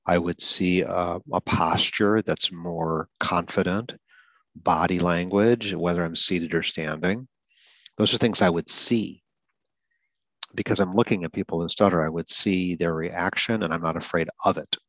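A man with a very low voice talks through a goal-setting exercise in an earnest, coaching way. Now and then he repeats a word or restarts. The sound is dry and close, with no room around it. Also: fairly even intonation, unhurried, fairly bright tone, no room sound, poor audio. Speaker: podcast host and stuttering advocate. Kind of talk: solo podcast explanation.

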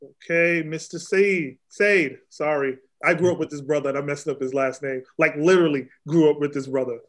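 A man's voice: on the high side, steady tone, moderate pace, a bit bright. A man moderating a panel over a video call in a loose, familiar way, laughing often.

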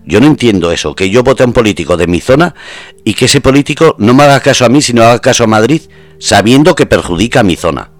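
A man's voice: medium pitch, animated, quick pace. A man hosting a conversational radio talk. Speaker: radio host.

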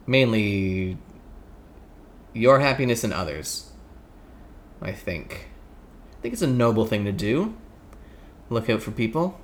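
A man's voice: lowish voice, animated, takes it slowly, pauses often, slight background noise. A man with a Canadian accent giving casual, joking and somewhat hesitant answers in an interview. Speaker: podcast guest.

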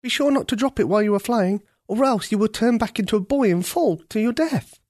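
A storyteller putting on an eager, high young girl. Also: lively intonation, brisk, no echo, studio quality, lightly acted. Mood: urgent. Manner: eager, earnest, bright-voiced. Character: brave young girl who can turn into a crow.

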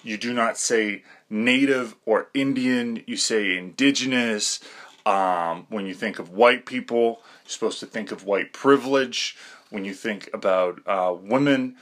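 A man's voice: lowish voice, a little slow, a bit bright. A young man talking through a rambling, self-deprecating podcast monologue, full of fillers and restarts. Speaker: podcast host.

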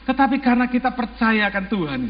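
A man's voice: very high-pitched, expressive, quick pace. A man with an Indonesian accent preaching to a congregation as a pastor, exhorting them emphatically with rhetorical questions and some English phrases mixed in. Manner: mixes English phrases into speech. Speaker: church pastor. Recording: muffled, long hall reverb.

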